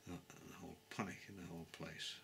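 Older man with a low, calm voice. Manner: calm, measured, pauses to search for words. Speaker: travel vlogger. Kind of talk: unscripted historical explanation. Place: living room, seated on a sofa. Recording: clear background noise.

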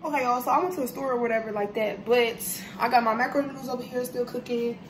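A woman's voice: a little high, fairly even intonation, fast talker, noisy background. A young woman in her twenties from Ohio, chatting casually to viewers as she cooks in her home kitchen, often calling them "y'all". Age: 20s. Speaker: vlogger. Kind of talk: casual cooking vlog talk.

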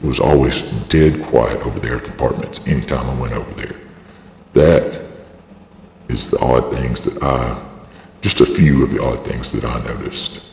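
A man delivers an aggrieved personal monologue in an extremely low, gravelly voice altered by a voice changer. He rambles on insistently, like a video blogger making a statement. The sound is very echoey. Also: a little mellow, a little hiss or hum. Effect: voice-changing device lowering the voice. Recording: processed, echoey voice with long reverb.

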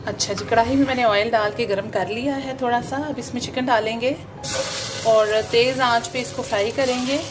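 A woman walks through a home recipe step by step, homely and unhurried, pausing on fillers as she goes. Music plays clearly behind her voice. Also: fairly high pitch, steady tone. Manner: homely, step-by-step explaining with frequent "um" pauses. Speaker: cooking channel host. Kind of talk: home cooking demonstration voice-over.

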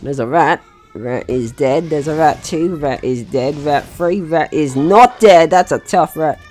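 A man's voice: fairly high pitch, a little slow, a little mellow, faint music behind. A man giving casual gameplay commentary, chatting through his own actions in short remarks. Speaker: gaming video host.

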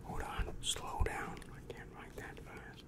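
Young man, breathy whisper, very slow measured pace, ASMR demon counselor roleplay, close microphone. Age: young adult. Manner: slow, measured, soft questioning. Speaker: ASMR performer. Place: small dim room indoors. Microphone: large microphone very close to the mouth.

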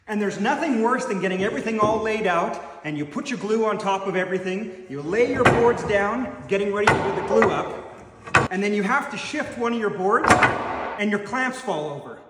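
A middle-aged man with a fairly high voice explains a woodworking tool, step by step and upbeat. He talks from a large workshop, and the room rings around him with a long reverb tail. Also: very noisy. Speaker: woodworking channel host. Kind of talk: scripted product demonstration. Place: large woodworking shop indoors. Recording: echoey shop reverb.

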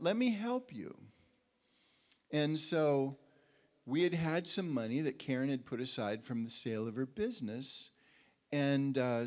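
Male preacher, 60s, medium pitch, informal and conversational, halting delivery with repeated words, handheld mic. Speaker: preacher at a community church. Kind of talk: informal sermon with personal anecdotes. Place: indoor church stage. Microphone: handheld microphone.

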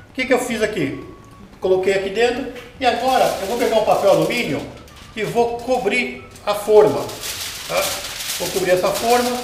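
A middle-aged man demonstrating a recipe step by step in his home kitchen, explaining patiently and checking in with the viewer as he works. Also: sits high. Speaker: home cooking show host. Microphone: camera mounted overhead. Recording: echoey kitchen with light background noise.

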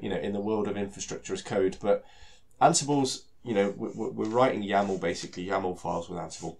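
A man with a British accent and a head cold that makes him sound nasal and stuffy, presenting a technical talk in a relaxed way, full of fillers and repeated words. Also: on the low side, gravelly. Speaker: solution architect giving a technical talk.

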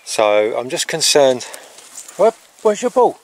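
A middle-aged man in a casual, rambling vlog, talking haltingly outdoors on a farm into a handheld camera. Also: mid-range voice, very animated. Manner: halting, breaking off to talk to animals. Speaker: farm vlogger. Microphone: handheld camera at arm's length.

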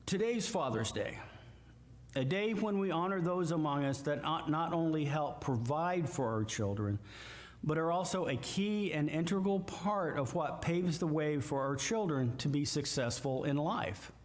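An older man with a Midwestern American accent, a guest preacher giving a slow, emphatic sermon with scripture readings from a church lectern. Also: a little high. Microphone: lectern mic, far camera. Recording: echoey church hall from far off.

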